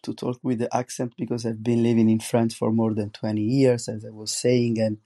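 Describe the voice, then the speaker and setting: A middle-aged man with a strong Italian accent, speaking English as a second language, hosting a solo podcast and talking haltingly with many restarts. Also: mid-range voice, level tone, dark tone. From Italy. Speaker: podcast host, comics artist.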